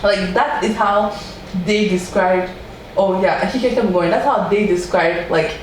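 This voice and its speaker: A woman hosting a podcast, telling a true-crime story in a casual, animated way and quoting people in a raised voice. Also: mid-range voice, a little slow. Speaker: podcast host. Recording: echoey room from far off with faint noise.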